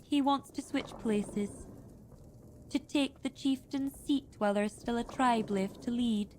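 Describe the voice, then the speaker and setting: Measured high-voiced chieftain played by an actress. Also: female voice, warm tone, slight background noise, lightly acted. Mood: skeptical. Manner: deliberate, formal, weighty phrasing. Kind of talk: dramatic fantasy game dialogue. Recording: music behind the voice.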